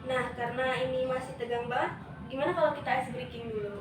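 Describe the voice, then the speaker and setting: A woman's voice: fairly high pitch, fairly even intonation, quick pace, over music. A young woman leading a semi-formal group session as a student counselor. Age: young adult. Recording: distant, echoey room with loud noise.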